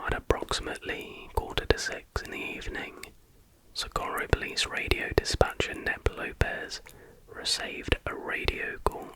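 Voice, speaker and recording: An ASMR host speaking in a breathy, unvoiced whisper, reading aloud in an unhurried, informal way. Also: poor audio.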